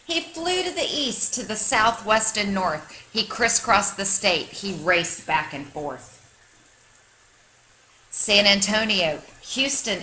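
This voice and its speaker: Bright, animated woman reading a children's story. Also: mid-range voice, slight background noise, low-quality recording. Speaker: teacher reading aloud. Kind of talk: expressive children's picture book read-aloud.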